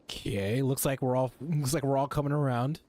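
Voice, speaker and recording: A man's voice: mid-range voice, fairly even intonation, brisk, a little mellow. Casual, slangy male game streamer. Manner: informal, slangy. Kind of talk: casual live gaming commentary, reading game text aloud. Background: game music.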